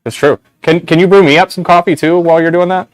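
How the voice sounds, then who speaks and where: A man's voice: fairly high pitch, steady tone, quick pace, no echo, soft background music. A young man with a nasal voice, hosting a casual shop livestream and rambling through giveaway details with a lot of "right?".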